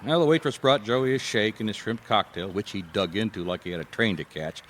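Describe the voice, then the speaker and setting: A man's voice: lowish voice, fairly quick, a bit bright, slight background noise, lightly acted. An actor playing an easygoing American private eye, telling his story in a casual, wry radio drama style. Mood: wry storytelling. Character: easygoing male private eye recounting an old case. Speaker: lead actor and narrator. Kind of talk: conversational radio drama narration.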